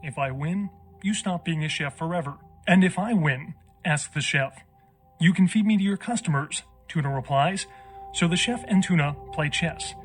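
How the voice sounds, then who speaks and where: A man's voice: a little high, normal speed, soft background music, natural voice. A man playing a quirky, dry, rambling joke-teller in a video game. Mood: droll.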